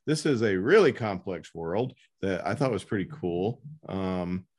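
A man hosting a casual interview, talking in a halting, informal way. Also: low-pitched, lively intonation, darkish tone, slight background noise. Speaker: podcast host interviewing an author.

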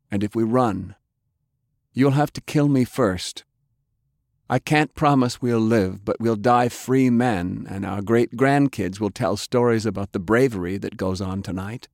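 Man acting a steady, resolute pirate captain. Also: lowish voice, no room sound, studio quality. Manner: slow, measured, rallying speech. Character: resolute, calm male pirate airship captain. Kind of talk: audiobook character acting.

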